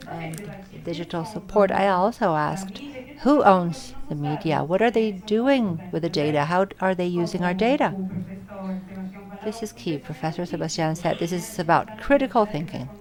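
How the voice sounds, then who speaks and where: Low-voiced woman interpreting live in halting phrases. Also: expressive, a crowd in the background. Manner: halting, phrase-by-phrase delivery with self-corrections. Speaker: simultaneous interpreter. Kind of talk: live interpretation of audience questions at an academic symposium.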